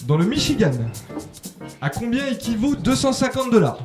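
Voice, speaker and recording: A young man hosting a comedy quiz in a studio, reading the questions in a dry, formal deadpan into a handheld mic. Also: very high-pitched, normal speed, loud music behind the voice. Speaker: quiz show host. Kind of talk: deadpan scripted quizmaster. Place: bright TV studio set, indoors. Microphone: handheld microphone.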